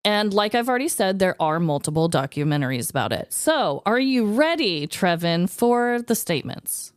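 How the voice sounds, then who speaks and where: A woman co-hosting a casual podcast, chatting in an animated, gossipy, conversational way. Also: mid-range voice, moderate pace, no echo, studio quality. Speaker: podcast co-host.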